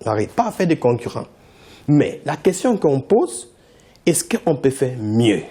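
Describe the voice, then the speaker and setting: Insistent man, lively pitch. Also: a little slow, slightly rough. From Cameroon. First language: English. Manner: insistent, repeats his points. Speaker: company founder, interview guest. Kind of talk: TV interview answers.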